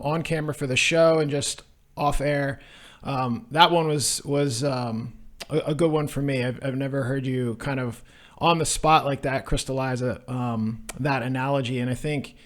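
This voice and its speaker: A man co-hosting a podcast in casual chat, with halting, meandering phrasing. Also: mid-range voice, steady tone. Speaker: podcast co-host.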